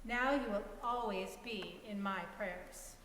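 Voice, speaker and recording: A woman's voice: mid-range voice, takes it slowly, a bit bright. A woman giving a dramatic reading of a story, voicing both narration and dialogue. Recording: echoey hall with faint hiss.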